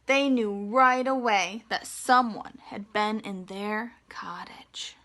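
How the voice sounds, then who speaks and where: A woman's voice: mid-range voice, slow pace, a bit bright. A woman reading a fairy tale aloud to young children, expressively and with simple explanations. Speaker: children's storytime reader.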